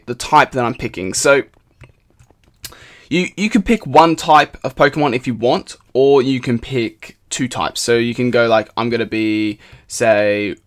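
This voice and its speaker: Slightly hoarse young man gaming. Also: medium pitch. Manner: rambling, upbeat, many fillers and restarts. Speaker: gaming channel host. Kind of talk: casual game commentary.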